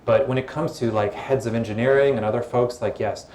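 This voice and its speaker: A man answering audience questions at a startup event in a calm, relaxed, conversational way, on a mic in a hall. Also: mid-range voice, brisk. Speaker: guest speaker, former social media executive. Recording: echoey event hall with faint room noise.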